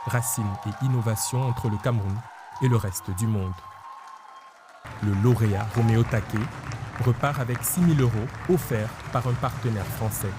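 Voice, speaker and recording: A man reading a scripted news report as a voice-over in a polished, measured way. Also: mid-range voice, music in the background, a crowd in the background.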